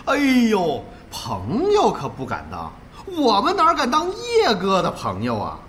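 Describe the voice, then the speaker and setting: A man's voice: high voice, wildly swooping pitch, measured pace, a bit bright, slight background noise, heavily acted. An actor playing a spiteful, resentful male esports player in a radio drama, full of theatrical sarcasm and taunts. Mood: mock-friendly, sneering. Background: background music.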